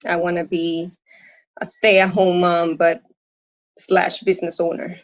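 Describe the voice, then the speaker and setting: A woman from Puerto Rico speaks with a Puerto Rican accent, English her second language after Spanish. She talks slowly and earnestly, often stopping and restarting her sentences. The audio is dry and a little dull, like a lo-fi call recording. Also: fairly low pitch, level tone, dry recording. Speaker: coaching client, working mom. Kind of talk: live coaching conversation.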